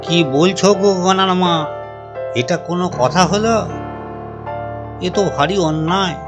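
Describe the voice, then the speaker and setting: A pious old village moneylender from a cartoon, pitched fairly high. He is oily and sanctimonious, sweet on top and ruthless underneath. Light music plays behind him. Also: a little slow. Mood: chiding. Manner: unctuous, pious, chanting devotional phrases. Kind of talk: Bengali cartoon voice acting.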